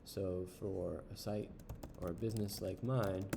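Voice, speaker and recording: A man casually reviewing an online service while browsing its pages, unhurried, with frequent ums and uhs. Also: low-pitched, steady tone, vocal fry, noisy background. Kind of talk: casual screen-share review.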